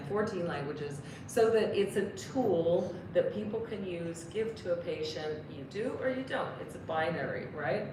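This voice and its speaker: A woman from Canada, a clinician on a panel, explaining earnestly and asking many rhetorical questions. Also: lowish voice. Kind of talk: panel discussion answer. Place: briefing room. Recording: echoey room, distant mic, background noise.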